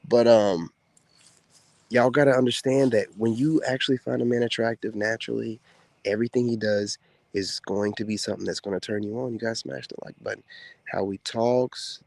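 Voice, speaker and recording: A soft-spoken man, a former soldier, talks casually to the camera to his women viewers in a relaxed, drawling way with many fillers. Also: medium pitch, moderate pace, gravelly, no echo. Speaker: content creator speaking to women viewers. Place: outdoors.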